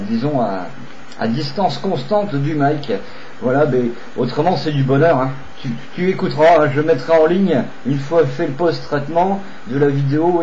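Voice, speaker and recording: A man's voice: on the high side, a little mellow. A man operating a CB radio, chatting with another operator in a repetitive, hesitant way with radio procedure phrases. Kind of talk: radio contact chat. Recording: dull, slightly noisy CB radio recording.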